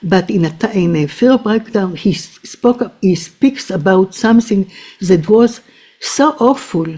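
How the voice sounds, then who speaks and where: A woman psychoanalyst giving a halting academic talk, full of restarts and fillers. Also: medium pitch, dark tone. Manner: hesitant, many restarts and fillers. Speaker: psychoanalyst presenting a paper.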